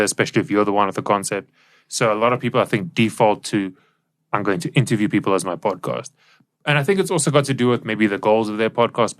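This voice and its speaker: South african guy with a lowish voice, chatty podcast co-host explaining stuff, studio mic. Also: fairly bright tone. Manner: explanatory, informal. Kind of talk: casual co-hosted podcast discussion. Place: professional recording studio. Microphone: studio microphone.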